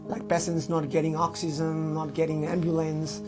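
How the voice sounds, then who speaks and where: A man's voice: on the high side, monotone, moderate pace, music in the background. A young man from Nepal, talking earnestly in an interview, often adding "like" and "right?". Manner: earnest, thoughtful, frequent "like" and "right?". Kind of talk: casual interview speech. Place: indoor room by curtained window.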